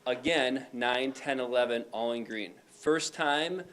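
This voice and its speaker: A man's voice: mid-range voice, measured pace, fairly bright tone. Chatty man reporting with many fillers. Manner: loose and conversational, frequent fillers. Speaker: high school principal. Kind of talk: informal report to a school board. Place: meeting room.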